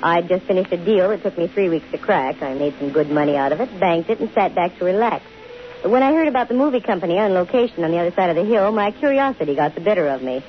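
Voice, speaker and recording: A woman's voice: on the low side, lively intonation, fast talker, slight background noise, natural voice. Wry lady detective on muffled old radio played by an actress. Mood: wry, confiding. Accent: American. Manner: dry, teasing, arch. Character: wry, quick-witted female private investigator. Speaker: lead actress and narrator. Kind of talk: 1950s radio drama acting. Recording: dull old 1950 radio recording.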